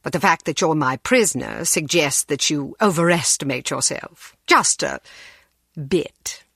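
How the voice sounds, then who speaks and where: An actress playing a haughty, coolly cruel aristocratic villainess with a condescending, theatrical drawl in a video game. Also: female voice, low voice, lively intonation, a little slow, vocal fry, fairly bright tone, breathy edge, dry recording, studio quality, heavily acted.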